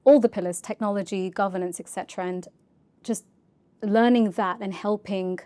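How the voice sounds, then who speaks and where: A woman's voice: mid-range voice, steady tone, moderate pace, warm tone, dry recording. A woman talking casually on a podcast about her career path, affirming the other speaker and chipping in to finish her thoughts. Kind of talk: casual conversational interview.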